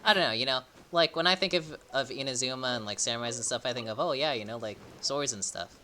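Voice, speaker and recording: Bright-voiced young man streaming a game. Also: medium pitch. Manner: halting, slangy. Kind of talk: casual live play-along commentary.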